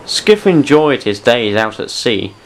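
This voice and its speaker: A man's voice: mid-range voice, sing-song, measured pace, slight background noise, low-quality recording. A man reading scripted narration for a children's style audio drama story.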